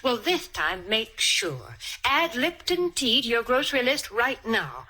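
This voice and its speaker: A woman announces for a sponsor in 1940s radio style. Her voice is high, bright and animated, with a chirpy, persuasive selling manner. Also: unhurried, breathy edge. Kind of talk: 1940s radio commercial pitch.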